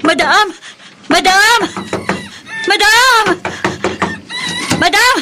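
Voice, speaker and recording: High-pitched, panicky housemaid. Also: female voice, lively intonation, extremely slow, over loud music, heavily acted. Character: panicky, deferential female household maid. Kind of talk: radio drama acting.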